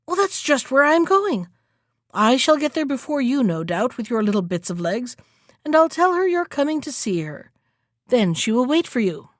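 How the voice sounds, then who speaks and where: A woman's voice: sits high, very animated, normal speed, dry recording, studio quality. A woman voicing a sly, villainous male wolf who acts sweet and friendly in a storybook tale. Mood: smug. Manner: coaxing, falsely sweet.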